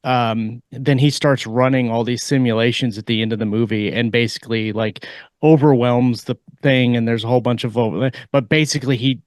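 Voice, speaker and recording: A man co-hosting a podcast in casual discussion, building his points haltingly in short pieces. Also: mid-range voice, steady tone, no room sound. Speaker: podcast co-host.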